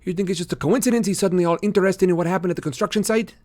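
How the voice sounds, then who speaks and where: A man voicing a quick, sarcastic teenage boy. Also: sits high, a little mellow, pitched above the performer's own voice.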